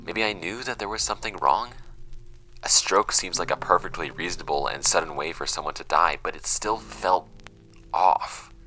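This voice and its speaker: A very deep, gravelly, troubled young man. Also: sharp tone, heavily acted. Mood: detached, uneasy. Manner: hesitant, introspective, halting phrasing. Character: troubled young man recording a confessional personal statement. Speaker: audio drama actor in the lead role. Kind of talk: naturalistic confessional audio drama monologue.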